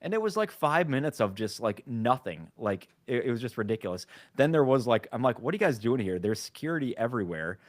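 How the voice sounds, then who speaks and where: A man co-hosting a podcast, telling stories in an animated way with lots of "like". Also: medium pitch, fast talker, few pauses, no echo, studio quality. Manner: animated storytelling, full of "like". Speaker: podcast co-host. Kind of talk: casual panel chat.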